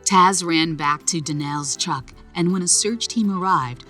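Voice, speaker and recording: A woman reading a children's story aloud in a slow, gentle storytelling manner. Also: fairly low pitch, faint music behind. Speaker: narrator. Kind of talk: children's read-aloud narration.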